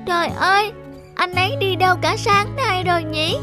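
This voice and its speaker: A woman's voice: extremely high pitch, lively intonation, moderate pace, music in the background. A woman voicing an anxious, devoted little sister in a children's cartoon dub, with a fretful, pleading manner.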